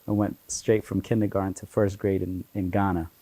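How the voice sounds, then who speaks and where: A man's voice: deep voice, little pitch movement, a little fast, mellow, no room sound. Ethiopian-born man, chatty and laughing. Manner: chatty, laughs often, many fillers like "you know". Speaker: guest. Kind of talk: relaxed conversational storytelling.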